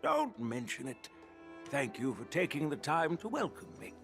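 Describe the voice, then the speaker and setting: An actor playing a genial, humble old general in a video game, long retired and very long-lived. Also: male voice, fairly high pitch, wildly swooping pitch, moderate pace, creaky, music in the background, full character voice.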